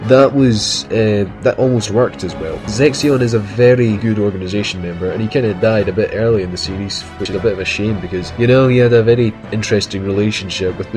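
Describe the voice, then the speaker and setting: A man with a cold giving earnest, casual commentary on his favorite game characters, often restarting his sentences as he thinks aloud. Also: lowish voice. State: has a cold. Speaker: video game fan commentator. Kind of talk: casual ranked-list commentary. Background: music.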